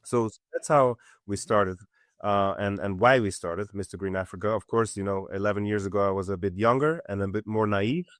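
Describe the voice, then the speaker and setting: A middle-aged man from Switzerland, a company co-founder, answering interview questions in an earnest, halting way with many fillers. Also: lowish voice, no echo, studio quality. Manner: earnest, many fillers and restarts, steady explanation. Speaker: company co-founder and CEO, guest.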